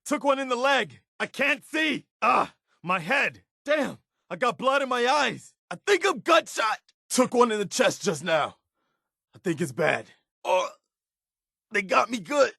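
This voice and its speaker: A man's voice: extremely high pitch, sing-song, unhurried, vocal fry, pushed and bright, no echo, clean studio sound, heavily acted. A man playing an earnest, principled militia soldier companion in a video game, loyal and battle-weary, speaking direct military phrasing in scripted dialogue. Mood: pained, strained.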